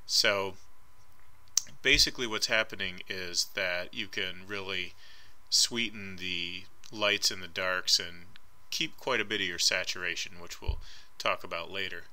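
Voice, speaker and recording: Bright-toned man lecturing over slides. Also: deep voice, fairly even intonation, measured pace, poor audio. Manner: casual and hedging, frequent ums. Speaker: art instructor. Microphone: voice-over recorded over slides.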